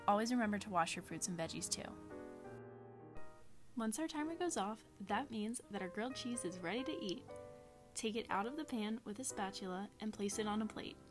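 A woman in her twenties presenting a cooking lesson for children, narrating step by step in simple instructions. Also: mid-range voice. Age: 20s.

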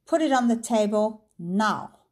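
A woman reading a story aloud, voicing a menacing male professor who sounds pleasant but threatens and shouts. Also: on the high side, animated, a little slow, voice pushed up. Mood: threatening. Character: sinister male professor villain, smooth then menacing.

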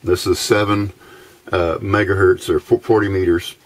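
A middle-aged American man giving a casual, halting instructional narration as an electronics hobbyist, recorded indoors at a workbench on a camera microphone. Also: low-pitched, flat delivery, noisy background. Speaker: electronics hobbyist demonstrating a homemade receiver.

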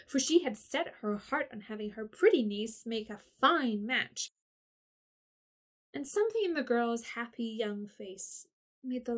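Measured woman narrating a classic story. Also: a little high.

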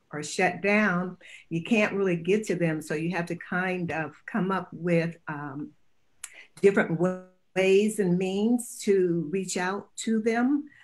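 An older woman, a retired grandmother, speaking as a panelist on a video call from home in a slow, reflective way. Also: lowish voice, a little mellow. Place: home room, indoors.

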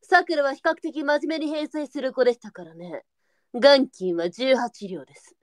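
Woman acting a composed, high-voiced brothel madam. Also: moderate pace, no echo, lightly acted. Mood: composed, advising. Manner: composed, matter-of-fact, advising. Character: worldly, firm but kindly brothel madam. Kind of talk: Japanese period audio drama acting.

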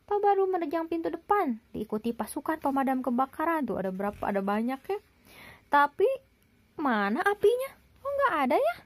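A young woman reads a picture book to a child in a high, swooping voice. She is expressive and often pauses to ask questions. The sound is close and dry. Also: no echo. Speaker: parent reading aloud to a child. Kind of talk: animated picture-book read-aloud with asides to a child.

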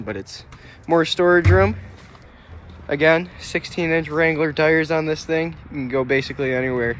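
A young man giving a relaxed, plainspoken walkaround tour of a small camping trailer, filmed on a handheld phone outdoors and then inside. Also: on the high side, slight background noise. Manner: relaxed, plainspoken, pointing things out as he goes.

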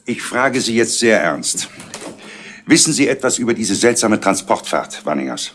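An actor playing a stern senior police inspector in a 1970s radio crime drama, speaking formally. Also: male voice, fairly low pitch, big pitch swings, normal speed, fairly bright tone.

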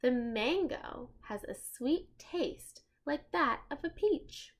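A woman's voice: high-pitched, expressive, takes it slowly, voice pushed up. A woman voicing a cautious, bookish young boy, earnest and matter-of-fact, in a children's storybook reading. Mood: studious.

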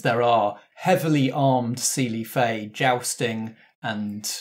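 A man acting as game master, narrating scenes and rules in a conversational, descriptive way. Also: medium pitch. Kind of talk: narrating a tabletop roleplaying game.

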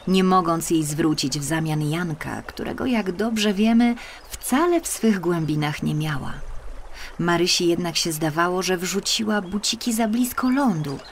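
A woman narrating a scripted children's story in an expressive, careful storytelling manner. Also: fairly low pitch, slight background noise. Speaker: audiobook narrator.

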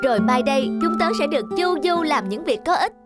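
A woman's voice: high-pitched, animated, fairly quick. A woman voicing a vain, mocking chrysanthemum flower in a children's cartoon, boastful and teasing. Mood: gloating, mocking. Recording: loud children's music behind.

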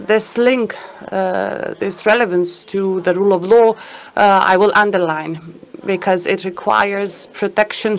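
Woman interpreting a talk live. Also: medium pitch. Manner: hesitant, uneven pace with fillers. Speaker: simultaneous interpreter.

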